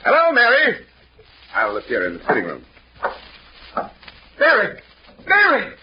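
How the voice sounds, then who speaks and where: A man's voice: very high-pitched, huge pitch swings, unhurried, full character voice. An actor playing an earnest, polite businessman devoted to his wife, in an old-time radio mystery. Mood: calling out. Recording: noisy, dull vintage radio recording.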